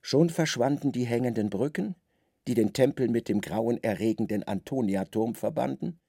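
Solemn male narrator with creaky voice. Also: medium pitch, normal speed, dark tone, dry recording, clean studio sound.

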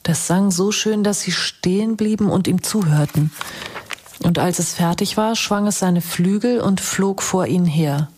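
Casting: female fairy-tale narrator, lowish, breathy, hushed storybook delivery for a radio play. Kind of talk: storybook reading in a radio drama.

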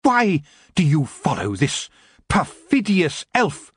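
A man voicing a regal female elf queen in a storybook reading, slow and commanding. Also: a little high, wildly swooping pitch, a bit bright, slightly rough, dry recording, studio quality, voice pushed up, full character voice. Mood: imploring.

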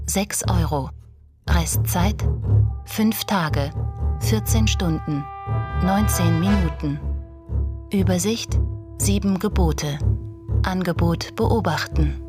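Low-ish woman a website readout, slow and detached, music underneath. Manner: detached, clipped, formal. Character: impersonal female voice reading auction website listings. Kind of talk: scripted announcing in a radio crime drama.

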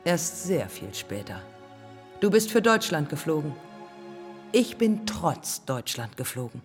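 A proud, defiant pioneering woman pilot in a radio drama. Her voice sits fairly low and she speaks in clipped, deliberate phrases, matter-of-fact and measured. Faint music plays behind her. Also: sing-song, in a large hall. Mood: defiant, reproachful. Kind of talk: German public radio drama, lyrical dialogue.

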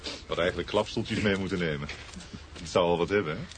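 A genial, gallant friend of the family played by a man in a radio play. His very deep voice has a wry touch, on a clearly noisy recording. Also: fast, lightly acted. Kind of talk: Dutch radio drama acting.

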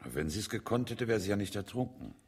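A suspected murderer on trial in a German radio drama. The voice is very deep and rough-edged. He answers with deadpan, unruffled politeness and slips around every accusation. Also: fast, full character voice. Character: unflappable, smooth-tongued male defendant, a suspected wife killer.

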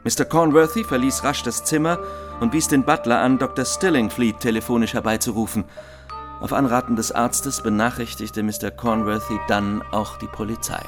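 An actor playing a matter-of-fact police inspector who gives a formal, methodical report in a German radio crime drama. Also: male voice, mid-range voice, steady tone, normal speed, close to an everyday voice. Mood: factual, methodical. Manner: methodical, factual, formal delivery. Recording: music behind the voice. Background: music.

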